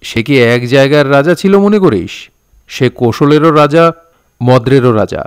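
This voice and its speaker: A man's voice: medium pitch, lively intonation, clear, resonant tone, close to an everyday voice. A man playing a patient elder storyteller. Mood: patient, improvising. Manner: deliberate, old-fashioned storytelling diction. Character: patient elder man improvising a folk tale. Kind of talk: storytelling within an audio drama.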